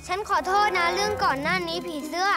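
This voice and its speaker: A woman's voice: very high-pitched, quick pace. A woman voicing a confident, kind-hearted boy superhero leader in a children's cartoon, encouraging and self-assured. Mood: gentle. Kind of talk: children's cartoon dub acting. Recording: music under the dialogue.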